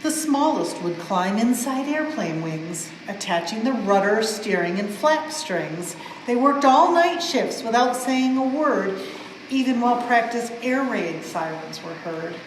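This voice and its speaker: A woman reading a rhyming storybook aloud in an expressive, measured way, as for a museum storytime. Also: on the high side. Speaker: museum staff member reading a children's book aloud. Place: indoors in a museum exhibit space. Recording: very echoey reverberant hall, faint background noise.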